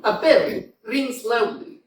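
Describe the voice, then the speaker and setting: A man reading stage directions aloud in a staged reading, in a plain, measured way. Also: very high voice, far from the mic. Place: small community theater stage. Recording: echoey stage picked up from afar.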